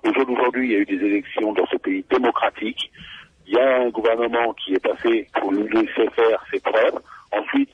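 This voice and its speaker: A man from France calling in to a radio show, speaking earnestly and insistently with halting restarts. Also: lowish voice, steady tone, slight background noise. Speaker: caller. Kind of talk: phone-in call to talk radio. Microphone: telephone. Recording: muffled phone line.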